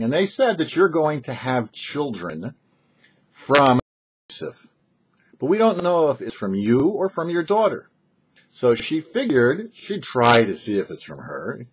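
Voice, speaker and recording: A man, a rabbi hosting a short religious commentary, telling and explaining scripture with emphatic, halting phrasing and pauses. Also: medium pitch, breathy edge. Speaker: rabbi and host of a weekly Torah talk show. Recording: dull, lo-fi sound.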